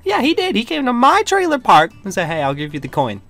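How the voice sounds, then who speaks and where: A man's voice: extremely high pitch, wildly swooping pitch, a little fast, gravelly, a bit bright, faint music behind, full character voice. A man playing a demanding, stubborn leader of a displaced people in an improvised game roleplay, blunt and argumentative.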